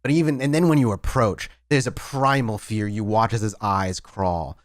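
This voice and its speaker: A man's voice: lowish voice, moderate pace, no echo, clean studio sound. A man narrating a tabletop horror game as storyteller, in dramatic style.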